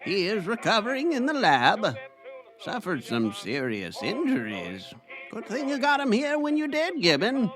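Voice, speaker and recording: A man's voice: high voice, wildly swooping pitch, a little slow, over music, full character voice. A man playing an eccentric, frail old wizard in a fantasy audio drama, theatrical and prone to cackling. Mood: matter-of-fact. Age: older. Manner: theatrical, punctuated with cackling laughs.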